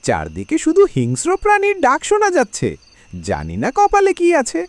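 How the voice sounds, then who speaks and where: A man's voice: very high-pitched, huge pitch swings, brisk, no echo, heavily acted. A man playing a devoted, weary middle-aged woodcutter father in a cartoon, fussing and muttering to himself expressively. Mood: anxious. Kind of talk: cartoon voice acting.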